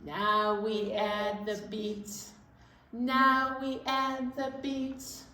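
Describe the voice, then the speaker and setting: A woman hosting a children's library storytime in a sing-song style, with simple singing and gentle chat. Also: on the high side, monotone, extremely slow. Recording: roomy echo, distant microphone.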